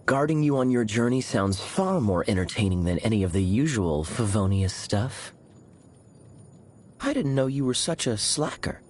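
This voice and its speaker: An actor playing a suave, teasing young knight in a video game, speaking in a smooth, sly manner. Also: male voice, mid-range voice, lively intonation, moderate pace, close to an everyday voice. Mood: sly, amused. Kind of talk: video game character voice lines.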